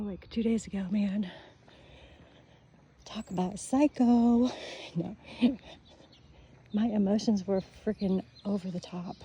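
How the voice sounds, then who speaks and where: An older woman talks while walking outdoors, her voice mellow and soft, often dropping to a breathy near-whisper as she gushes over what she sees. The sound is dull and lo-fi, like a phone mic, with faint outdoor noise behind her. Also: medium pitch. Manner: chatty, gushing, softly spoken with breathy whispery stretches. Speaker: vlogger. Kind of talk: casual walking vlog. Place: outdoors on a neighborhood street.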